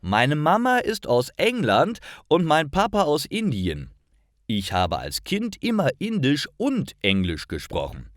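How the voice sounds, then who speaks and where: Narrator guy doing a smiley chatty 35ish man, way higher voice, storybook. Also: huge pitch swings, unhurried, dry recording, clean studio sound. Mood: cheerful. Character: friendly older-sounding thirty-something man, beaming.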